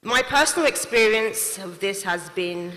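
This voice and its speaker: Bright-voiced woman speaking in a reverberant hall. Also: medium pitch, lively intonation, measured pace. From not Nigeria. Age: young adult. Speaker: CEO and manufacturer giving a talk. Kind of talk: prepared speech to an audience.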